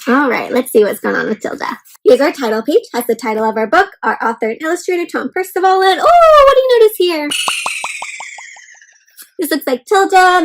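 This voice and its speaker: A woman's voice: high-pitched, low-quality recording. A woman hosting an interactive read-aloud for children, speaking expressively and encouragingly, straight to her young listeners. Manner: animated, exaggerated expression with frequent questions to young listeners; addresses listeners directly as friends, encouraging. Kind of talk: interactive picture book read-aloud for kids.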